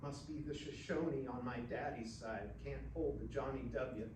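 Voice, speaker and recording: A man's voice: mid-range voice, unhurried, close to an everyday voice. A man voicing a brash, fiery cowgirl with a sassy, challenging drawl for a story reading, in a roomy recording. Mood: self-reproachful. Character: brash, fiery young cowgirl, teasing and combative. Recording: roomy, distant mic with faint noise.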